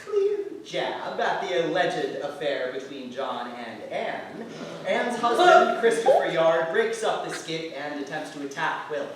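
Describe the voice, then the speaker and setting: A man's voice: a little high, sing-song, a little slow, over a noisy crowd. A man giving theatrical scripted narration as an actor reading a play synopsis. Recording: echoey hall from far off, faint noise.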